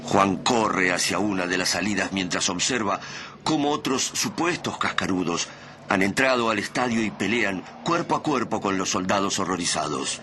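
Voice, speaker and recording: A man narrating a radio play in a solemn, measured, dramatic style. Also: low-pitched, animated, sharp tone, a little hiss or hum. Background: dramatic music.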